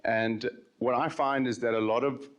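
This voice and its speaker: A man speaking as a venture capital managing director on a conference panel, relaxed and conversational with frequent ums. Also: medium pitch, normal speed. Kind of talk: panel discussion.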